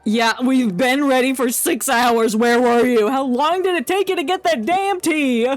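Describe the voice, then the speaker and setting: A woman's voice: high-pitched, measured pace. A woman livestreaming a game with casual, jokey commentary, giggly and mock-dramatic. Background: game music.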